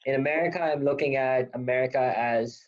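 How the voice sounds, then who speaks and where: A man's voice: mid-range voice, fairly even intonation, fairly quick. A man in his thirties from Pakistan, talking as an interview guest in a rambling, self-correcting way. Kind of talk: casual interview talk. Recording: dull lo-fi audio.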